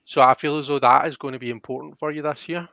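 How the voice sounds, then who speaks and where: A man giving a casual live card reading as host, thinking aloud in a halting way, on slightly dull audio. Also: fairly high pitch, steady tone, creaky, bright, forceful tone, no room sound. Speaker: host and tarot card reader.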